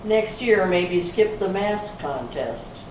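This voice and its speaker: A woman reading the part of a brisk, authoritative police sergeant in an amateur readers theater, matter-of-fact in manner. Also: on the low side, lively intonation, darkish tone. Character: brisk female police sergeant closing a case. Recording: echoey room from far off, clear noise.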